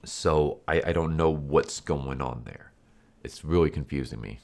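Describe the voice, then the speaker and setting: Man, very deep voice, stuffy and congested, rambling casual comic reviewer, lots of fillers. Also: measured pace, gravelly. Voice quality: nasal, stuffy. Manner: rambling, full of fillers and restarts. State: congested from illness.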